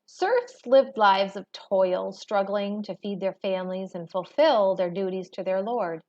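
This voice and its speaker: A woman reading a history textbook aloud as a teacher, in a measured, expository manner. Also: mid-range voice, darkish tone. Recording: dull, lo-fi recording.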